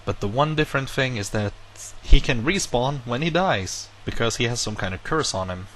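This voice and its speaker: A man's voice: mid-range voice, normal speed, a little hiss or hum, low-quality recording. A man who is not a native English speaker, casually telling a long personal story, rambling and correcting himself as he goes. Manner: rambling, self-correcting, animated retelling. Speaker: vlogger. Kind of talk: casual monologue to camera.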